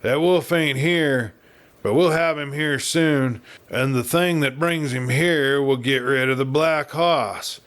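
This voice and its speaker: A man's voice: mid-range voice, takes it slowly, a bit bright. Audiobook Western heavy: grim, contemptuous big man, rustic American drawl, growled delivery, performed by a male reader. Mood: menacing. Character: big grim ruthless cowboy villain of the American West. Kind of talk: Western audiobook character reading.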